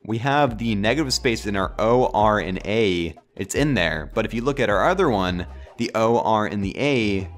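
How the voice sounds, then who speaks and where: A low-pitched man narrates a screen tutorial while sick, his voice nasal and cracking from a sore throat. He rambles in a relaxed, filler-heavy way, with faint music under him. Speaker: tutorial host. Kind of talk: casual screen-recorded software tutorial.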